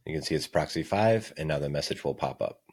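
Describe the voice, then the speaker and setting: A man's voice: a bass voice, lively intonation, fast talker, darkish tone. A man narrating a casual screencast tutorial as the presenter, explaining things step by step. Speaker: software demo presenter.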